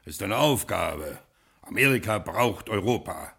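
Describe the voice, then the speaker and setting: A husky, commanding ex-gangster lord. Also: male voice, low-pitched, animated, a little slow, fairly bright tone, heavily acted. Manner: commanding, grandiose, brooks no objection. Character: reformed American gangster, now a lordly gentleman. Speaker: castle owner giving orders. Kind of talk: 1950s German radio drama acting.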